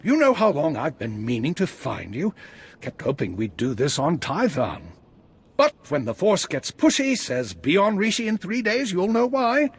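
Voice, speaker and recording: A man playing an eccentric, visionary Jedi master in a video game, guiding a student with expressive, theatrical delivery in a husky, rough-edged voice. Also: a little high, moderate pace, full character voice. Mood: eager, genial. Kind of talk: scripted video game dialogue.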